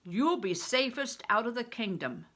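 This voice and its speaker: Storybook big gruff man, played by a woman, commanding, solemn, blunt, forceful delivery, lively pitch. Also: measured pace, full character voice. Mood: solemn, evasive. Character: big, gruff, commanding middle-aged male traveling mummer.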